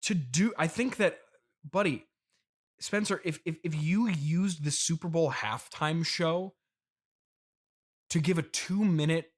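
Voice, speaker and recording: A man plays a wealthy CEO father in an improvised comedy interview. His voice is a touch higher than average. He is exasperated yet indulgent, repeating words in disbelief as he patiently questions his son. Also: slow, long pauses. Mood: exasperated, alarmed. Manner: patient, incredulous, hesitant repetitions. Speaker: podcast host.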